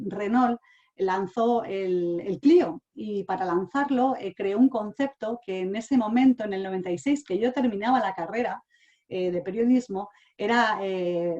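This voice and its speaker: Woman in her forties, online talk, halting, full of fillers, mid-pitched voice. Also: mellow, lo-fi sound. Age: 40s. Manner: hesitant, many fillers and restarts. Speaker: speaker. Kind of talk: online talk sharing personal experience. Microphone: online talk mic.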